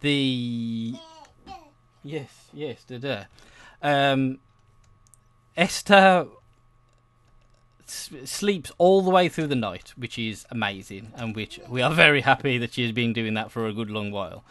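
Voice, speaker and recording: A young man hosts a gaming video in a casual, unhurried way. His voice sits at an average pitch, and he meanders through his thoughts with many hesitations, often cutting himself off mid-sentence. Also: fairly bright tone, no echo. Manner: hesitant, meandering, self-interrupting, chuckly. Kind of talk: casual rambling gameplay commentary.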